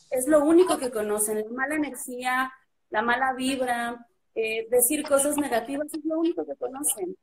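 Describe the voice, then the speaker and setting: High-voiced woman, earnest and measured. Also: slight background noise, rough recording. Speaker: guest on a live stream. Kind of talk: earnest heartfelt monologue. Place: room with a blue backdrop. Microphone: webcam video call.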